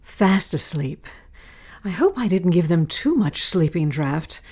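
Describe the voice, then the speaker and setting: A scheming governess in an audio melodrama. She speaks slowly and primly, with a syrupy false sweetness and big swoops of pitch. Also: lively intonation, darkish tone, husky. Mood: impatient, demanding. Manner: cooing, prim, syrupy. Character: scheming governess feigning sweetness toward her charges. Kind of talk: melodramatic audio drama acting.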